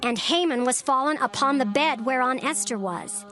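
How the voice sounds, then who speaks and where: A woman narrates scripture in a measured, formal reading with a slightly high voice. Music plays clearly behind her throughout.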